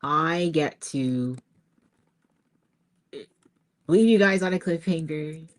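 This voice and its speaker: A woman artist chatting informally to her viewers as she works, halting and thinking aloud. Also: deep voice. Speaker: author-artist hosting a creative livestream. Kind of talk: casual chat to viewers while working on art.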